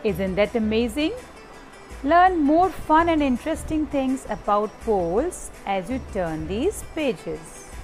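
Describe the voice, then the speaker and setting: Eager little boy in a school book, teacher reading aloud, bright and lively. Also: on the high side, slow, soft background music. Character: excited young boy visiting the South Pole.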